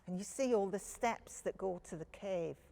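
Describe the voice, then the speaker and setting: A woman's voice: medium pitch, moderate pace, vocal fry, warm tone. A woman storyteller reading a picture book expressively to young children, addressing them directly.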